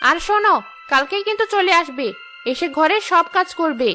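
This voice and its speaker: A cartoon mother-in-law played by a woman with a piercingly high voice. She is haughty and contemptuous, forever ordering people about. Light music plays behind her. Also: animated, moderate pace, bright, forceful tone, full character voice. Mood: scornful, bossy. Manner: bossy, contemptuous. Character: haughty, scolding mother-in-law of a landlord household. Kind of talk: cartoon dialogue dub.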